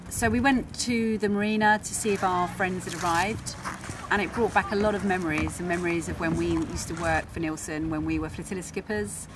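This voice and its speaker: Woman vlogging outdoors over loud background noise. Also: mid-range voice. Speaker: travel vlogger, sailor. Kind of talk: casual vlog narration to camera. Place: outdoors on a harbour quayside. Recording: noisy outdoor waterside ambience.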